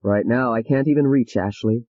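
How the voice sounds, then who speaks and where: A woman voicing a calm, patient male psychiatrist who speaks soothingly. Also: rumbling low, no echo, lo-fi sound, voice pushed down. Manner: soothing, measured.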